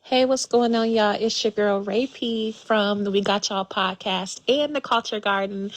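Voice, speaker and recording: An American woman, a podcaster, giving a casual shout-out in a voice message. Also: mid-range voice. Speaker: podcaster leaving a voice note.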